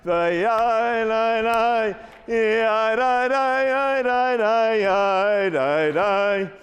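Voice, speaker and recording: High-voiced man leading in a reverberant hall. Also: fairly even intonation, very slow, fairly bright tone. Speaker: song leader and speaker giving a blessing. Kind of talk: communal sing-along and spoken blessing. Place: large conference hall, on stage.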